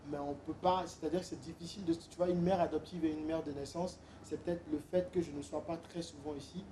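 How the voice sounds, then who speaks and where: A man's voice: fairly high pitch, fairly even intonation, fast, dark tone. A young man from Benin, a musician guest, talking casually in an interview with many hesitant fillers.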